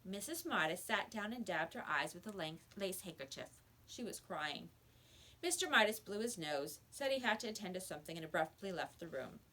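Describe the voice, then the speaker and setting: A woman reading a children's story aloud as a teacher would, with expressive narration. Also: on the low side, normal speed, low-quality recording.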